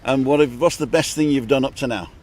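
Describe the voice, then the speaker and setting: An upbeat man from England holds a phone out on a seaside street and chats with strangers for his livestream. His voice sits at an average pitch for a man. He is friendly, repeats what people tell him, and keeps the talk moving. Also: fast, a little mellow. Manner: chatty and upbeat, greets strangers and repeats their answers. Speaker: live-streaming vlogger stopping passers-by. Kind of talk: casual street interviews on a livestream. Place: outdoors on a seafront street at dusk.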